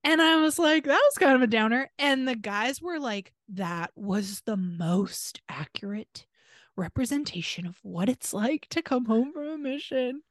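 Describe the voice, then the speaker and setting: A woman's voice: fairly high pitch, expressive, measured pace, no room sound, studio quality. An American woman co-hosting a podcast in casual chat, telling stories in a chatty, wry way. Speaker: podcast co-host.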